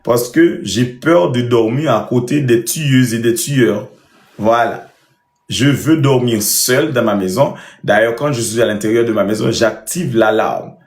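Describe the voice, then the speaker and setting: A man's voice: medium pitch, a little slow. A man chatting casually as host, with a theatrical, boastful manner. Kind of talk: casual chat. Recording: roomy, echoey sound.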